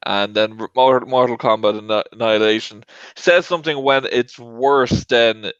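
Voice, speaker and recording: Clear-voiced man hosting, dry dull audio. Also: medium pitch, moderate pace, no room sound. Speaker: host of movie debate show. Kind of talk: casual panel discussion.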